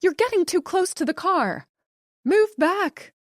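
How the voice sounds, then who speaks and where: A woman voicing a panicking wife who shouts and sobs in a high, strained voice. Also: big pitch swings, a little slow, dry recording, clean studio sound. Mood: urgent, shouting. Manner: shouting, frantic, high-pitched. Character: frantic panicking woman, wife watching disaster.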